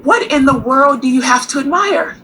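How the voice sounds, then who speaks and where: A woman's voice: high-pitched, lively intonation, moderate pace, pushed and bright, slight background noise. An actress playing a proud, worldly touring woman singer who is self-assured and sassy but turns grave and defiant. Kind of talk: stage radio drama acting.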